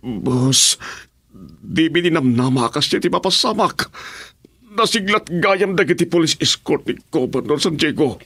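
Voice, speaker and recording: A man playing a nervous, deferential henchman in a radio drama, with a husky voice. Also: on the high side, animated, unhurried, fairly bright tone, dry recording, exaggerated voice. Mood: reporting. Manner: pleading, deferential. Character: nervous male gang henchman, deferential to his boss.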